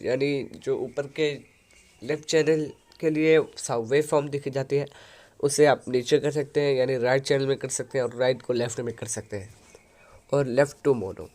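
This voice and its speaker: A man hosting a software tutorial, explaining an audio editor step by step into a headset mic. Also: mid-range voice. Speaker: tutorial host.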